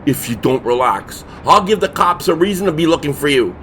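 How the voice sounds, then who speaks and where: A man's voice: on the high side, big pitch swings, fairly quick, fairly bright tone, a little hiss or hum. A man acting a cranky, sarcastic brother in a comedy horror audio drama, sounding exasperated.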